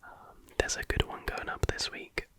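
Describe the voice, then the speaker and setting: A British ASMR host whispering casual, chatty reaction commentary in a hushed, informal, rambling way. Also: normal speed.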